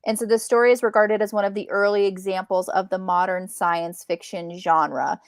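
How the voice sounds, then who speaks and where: Woman, medium pitch, dry sound, chatty book co-host, animated retelling, casual discussion. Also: normal speed, no echo.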